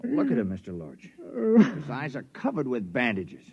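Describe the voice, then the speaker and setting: A man's voice: medium pitch, wildly swooping pitch, moderate pace. An actor playing a soothing, concerned male sick-bay crewman in 1950s radio drama style. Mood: grave. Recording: muffled vintage radio recording.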